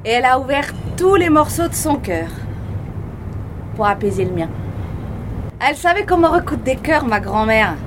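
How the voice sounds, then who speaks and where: An actress playing a blunt, self-doubting woman in her thirties, speaking in colloquial, halting phrases with bitter asides, recorded outdoors at a street show. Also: sits high, sing-song, background noise. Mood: wry. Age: 30s. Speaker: stage actress. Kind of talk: street theatre monologue. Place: outdoors at a street arts festival.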